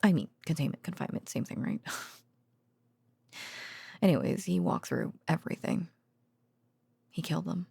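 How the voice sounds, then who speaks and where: A gentle researcher girlfriend, acted by a woman talks softly in an intimate ASMR audio roleplay. Her voice is dark, mellow and husky, often slipping into a whisper. She speaks haltingly, very close and dry. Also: on the low side, no room sound, full character voice. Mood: hesitant, subdued. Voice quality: soft, breathy, often dropping to a whisper. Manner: hesitant, halting, murmuring. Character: gentle female researcher girlfriend murmuring secret work stories at bedtime.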